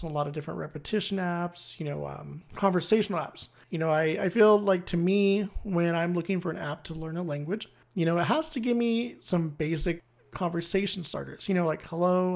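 Solo explainer host: conversational, opinionated, mid-pitched, plenty of vocal fry. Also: a little mellow. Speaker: host of a language-learning series. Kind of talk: casual solo explainer talk.

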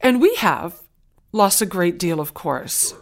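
A woman's voice: lowish voice, animated. A woman from Saint Helena Island whose first language is Gullah, telling her story earnestly as an interview guest. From Saint Helena Island, South Carolina. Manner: earnest, heartfelt, with frequent pauses and restarts.

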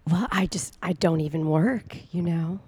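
A woman's voice: lowish voice, moderate pace, a little mellow, slightly rough. A woman playing a coy, seductive mother on a date in improvised comedy, suggestive and affected. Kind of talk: improvised comedy parody of radio play.

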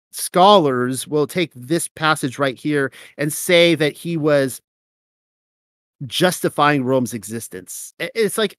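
A man's voice: mid-range voice, dry recording, studio quality. A man with a cold and a slightly nasal voice, reading scripture aloud and then commenting casually with fillers. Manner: reads verses steadily, then comments loosely with fillers. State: has a cold, sounds nasal. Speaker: host of a scripture reading show.

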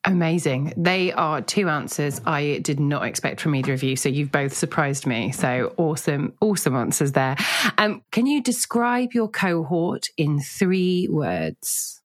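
A woman's voice: low-pitched, lively intonation, a little slow. A woman hosting a podcast, reading a scripted introduction and then interviewing students in an upbeat, encouraging way. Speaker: podcast host, director of student life.

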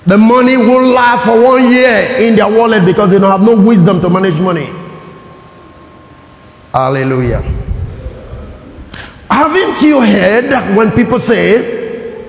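Nigerian man preaching a sermon, high-pitched voice, animated and calling out to the congregation, dull lo-fi recording. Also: measured pace, long pauses. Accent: Nigerian English. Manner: animated preaching, calls for audience response. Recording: echoey hall, dull lo-fi sound.

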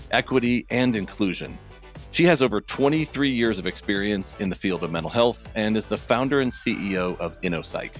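A man hosting a podcast, reading a measured, formal introduction and asking interview questions. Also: fairly low pitch. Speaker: podcast host.

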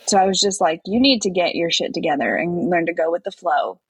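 Woman, podcast co-host, husky voice, casual recap chat, close dry sound. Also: lowish voice, lively intonation, brisk, no echo, rough recording.

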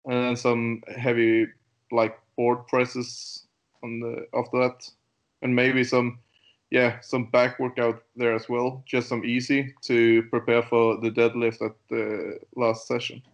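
Slow, halting man from Denmark. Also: mid-range voice, monotone, lo-fi sound. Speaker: guest powerlifter. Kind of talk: casual interview chat.

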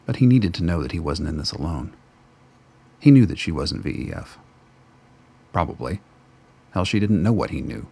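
A man reading the narration of a radio play in a measured, wry storytelling manner. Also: low voice, very animated, vocal fry, warm tone, husky. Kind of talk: scripted narration.

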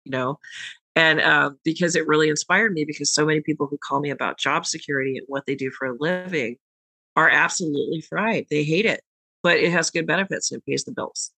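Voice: mid-range voice, fairly even intonation, a little fast, sharp tone, no echo, poor audio. A podcast host and tarot reader talking casually and frankly, swearing now and then and rambling a little.

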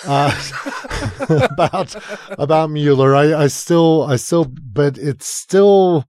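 Slow-talking exasperated podcast man. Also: on the high side, darkish tone, dry recording, studio quality. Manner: swears freely, exasperated, trails off and restarts. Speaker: podcast co-host. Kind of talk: casual political chat.

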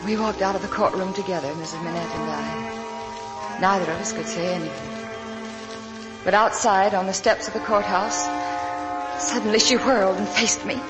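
Wounded young wife, female actor: medium-pitched, weary, earnest vintage radio drama delivery with prominent music underneath. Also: fairly even intonation, normal speed, long pauses, close to an everyday voice, rough recording. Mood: sorrowful recollection. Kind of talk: 1940s radio drama acting.

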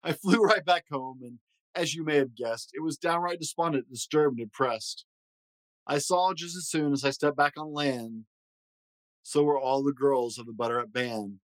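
A man reading a rhyming children's story aloud in an expressive way. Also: mid-range voice, normal speed, no room sound, poor audio. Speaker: storybook reader.

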